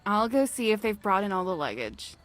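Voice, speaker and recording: An actress playing a dutiful, practical young woman of the household in a visual novel drama, brisk and matter-of-fact. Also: mid-range voice, big pitch swings, a bit bright, faint music behind.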